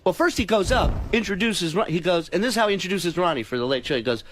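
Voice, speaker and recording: A man with a fairly high, very animated voice tells heated stories on a radio talk show. He is emphatic and profane, and acts out each side of quoted exchanges. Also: fast, slight background noise. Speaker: radio show staffer and stand-up comic.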